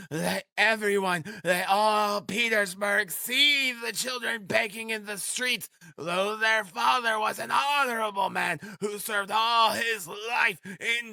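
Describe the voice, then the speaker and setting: A man voicing a frantic, proud, sickly mother in an audiobook, shouting, pleading and scolding in a shrill voice. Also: creaky, dry recording, exaggerated voice. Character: frantic proud consumptive mother, ragged and hysterical.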